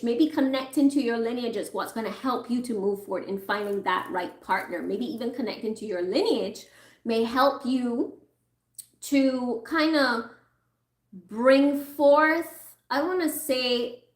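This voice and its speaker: A woman reading tarot cards for her viewers in a chatty, reassuring way. She is losing her voice and keeps clearing her throat. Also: a little high, echoey room. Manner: chatty, reassuring, thinks aloud while pulling cards. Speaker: tarot reader.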